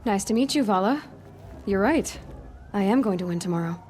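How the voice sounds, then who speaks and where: A woman's voice: medium pitch, lively intonation, normal speed, a little mellow, close to an everyday voice. A young woman acting a defiant, blunt outcast huntress in a video game cutscene, speaking in a pointed, self-assured way. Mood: polite, confident. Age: young adult.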